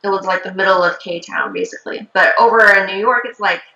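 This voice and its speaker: A woman's voice: medium pitch, brisk. A woman raised from Korea whose first language is Korean, a shaman chatting casually in an interview with lots of ums and likes. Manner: relaxed, many fillers like um and like. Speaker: traditional shaman. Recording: roomy, slightly dull sound.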